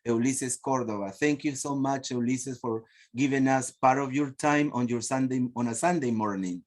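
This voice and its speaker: Host, peruvian accent, man on a video call, chatty, mid pitch. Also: moderate pace. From Peru. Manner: chatty, stalls while sharing screen. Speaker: host of online teachers' meeting. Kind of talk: casual video-call hosting.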